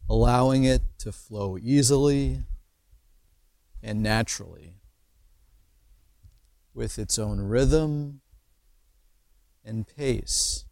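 Very slow-paced man guiding meditation. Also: mid-range voice, vocal fry, warm tone, no echo. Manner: measured, pausing between short phrases. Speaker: meditation teacher. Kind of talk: slow guided imagery meditation narration.

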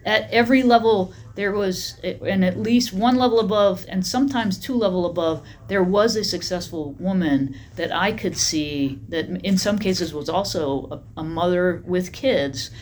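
A middle-aged woman army general answering interview questions in a matter-of-fact, steady way. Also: fairly low pitch, moderate pace, hardly any pauses, a little hiss or hum.

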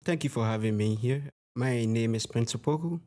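A man's voice: medium pitch, normal speed, warm tone. A young man from Ghana, answering interview questions about his work in an earnest, plain-spoken way. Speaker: sailor interviewee.

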